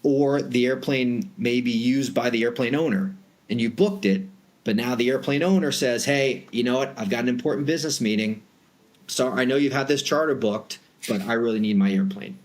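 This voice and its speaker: A man's voice: mid-range voice, normal speed. A man with a Boston accent explaining his industry conversationally and emphatically, as an expert guest in an interview. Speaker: private jet charter broker, interview guest.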